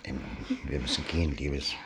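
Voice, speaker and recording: An actor playing a worldly, cynical gentleman seducer who narrates his own affair in a radio drama, moving theatrically between dry telling and urgent speech. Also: extremely low pitch, steady tone, moderate pace, background noise. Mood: hushed, firm. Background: music.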